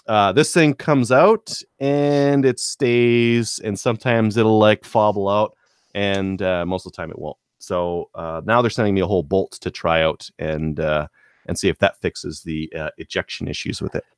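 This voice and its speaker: A man's voice: lowish voice, moderate pace, clear, resonant tone, no room sound, studio quality. A man talking casually as a podcast co-host, explaining things in stop-start phrases. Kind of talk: casual gun-hobby chat.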